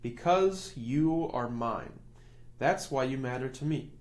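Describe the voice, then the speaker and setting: Storybook role: big, kindly bearded craftsman, fatherly, slow and reassuring performed by a man reader. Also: medium pitch, expressive, a little mellow. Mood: tender. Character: kindly, fatherly old woodcarver, large bearded male maker. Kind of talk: children's storybook reading.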